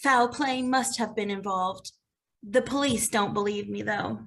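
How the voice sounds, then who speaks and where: A woman playing a timid, earnest young baker and grieving daughter in an animated comedy table read, speaking a little hesitantly. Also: mid-range voice, unhurried, gravelly. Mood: earnest grief.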